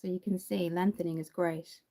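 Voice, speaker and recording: Young Irish woman, Dublin accent, chatty livestream makeup host, low mellow voice. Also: fairly even intonation, normal speed. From Ireland. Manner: chatty, reads and answers viewer comments. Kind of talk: casual livestream makeup tutorial.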